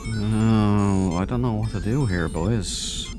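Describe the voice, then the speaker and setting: A man's voice: fairly low pitch, slow pace, over music. A man hosting a let's play, thinking aloud in casual, cheeky, jokey commentary.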